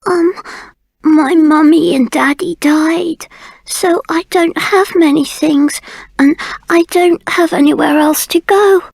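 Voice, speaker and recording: Tiny, high-voiced orphan boy. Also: fairly even intonation, slow pace, vocal fry, darkish tone, dry recording, heavily acted. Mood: timid, sorrowful. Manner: hesitant, childlike. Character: small orphaned boy, timid, sad and halting. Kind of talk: video game voice acting.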